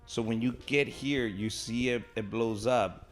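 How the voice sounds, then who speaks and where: Podcast host dude, mid pitch, keeps rewording the guest's answers, bit of music in back. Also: male voice, steady tone, unhurried. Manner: prompting, rephrases the guest's words. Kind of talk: casual interview.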